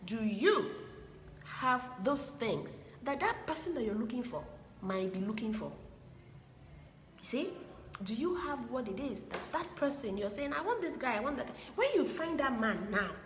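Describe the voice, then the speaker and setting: A woman's voice: a little high, sing-song, normal speed. Emphatic woman in an echoey room. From Igbo land, Nigeria. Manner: emphatic, preachy, repeats points for stress. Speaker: relationship advice speaker. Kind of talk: earnest advice monologue. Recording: echoey room with long reverb and faint background hiss.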